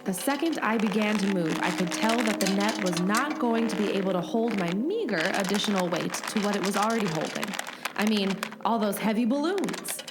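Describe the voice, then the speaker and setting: A woman's voice: medium pitch, expressive, a little fast, natural voice. A woman playing an earnest, determined heroine, narrating her rescue dramatically in a comedic audio drama. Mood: suspenseful. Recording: loud music bed underneath.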